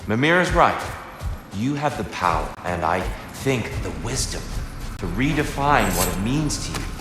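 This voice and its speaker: A measured, wise god of war for a video game. The voice is fairly low, eloquent and deliberate, probing like a counsellor. It rings in a long echoing hall with loud music behind. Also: male voice, very animated. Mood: earnest, counselling. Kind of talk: dramatic video game acting. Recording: cavernous reverb under loud music.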